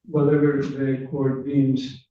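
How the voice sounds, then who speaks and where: A man representing himself in court, asking for more time in a halting, hesitant way. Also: mid-range voice, monotone, mellow. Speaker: litigant. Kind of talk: courtroom exchange. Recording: distant, echoey, dull courtroom audio.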